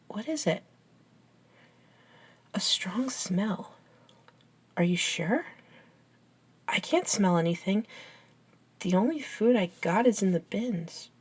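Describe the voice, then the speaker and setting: A woman playing a caring, curious, science-minded young best friend in an ASMR roleplay, with an earnest, halting, gently teasing manner. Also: medium pitch, husky. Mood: puzzled. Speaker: amateur audio roleplay voice actor.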